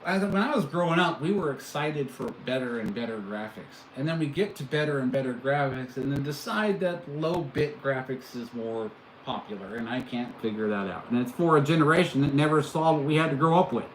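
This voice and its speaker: A man's voice: medium pitch, lively intonation, a little fast. Chatty man in an echoey room. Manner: chatty, reacting to chat with amused disbelief. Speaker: livestream host. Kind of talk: casual live chat with viewers. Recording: echoey room, faint background noise.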